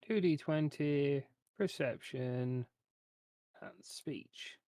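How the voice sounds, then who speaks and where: A man's voice: on the high side, drawn-out words, dark tone. A man talking bluntly and insistently as a player in a tabletop roleplaying game.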